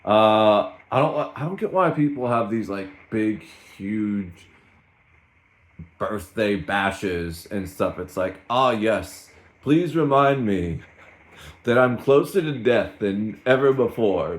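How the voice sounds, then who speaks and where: A man in his thirties talking in a rambling, self-mocking way in a casual solo vlog. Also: medium pitch. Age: 30s. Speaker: streamer.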